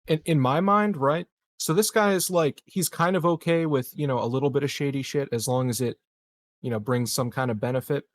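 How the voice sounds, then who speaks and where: A man's voice: medium pitch, fairly quick, no room sound. A man plays in a tabletop roleplaying game, speaking in a middle register. His talk is casual and exploratory as he reasons through his argument. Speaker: player.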